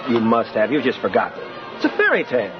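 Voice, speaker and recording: An actor playing a friendly, playful bachelor neighbor in a 1950s radio drama, genial and a little hesitant. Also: male voice, mid-range voice, huge pitch swings, quick pace, crowd noise. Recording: dull vintage radio broadcast, music behind.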